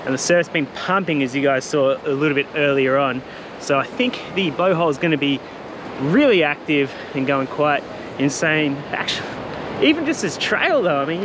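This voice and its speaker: A man, vlogging casually and enthusiastically on a windy coast, filmed with a camera at arm's length. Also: fairly high pitch. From Australia. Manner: enthusiastic, laid-back slang. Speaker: travel vlogger. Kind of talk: casual travel vlog. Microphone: handheld camera at arm's length. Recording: loud music underneath.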